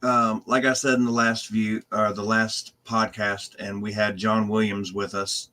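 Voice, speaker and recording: A man's voice: fairly low pitch, flat delivery, measured pace, bright, forceful tone. A man hosting a casual paranormal podcast, talking loosely with many ums and restarts. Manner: rambling, loose, filler-heavy. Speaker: team manager and podcast host.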